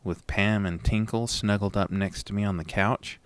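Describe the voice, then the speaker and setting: A man reading a scripted first-person horror story in a dramatic, deliberate storytelling manner. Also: on the low side, steady tone, clear, resonant tone, no room sound. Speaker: narrator and lead of a horror audio story.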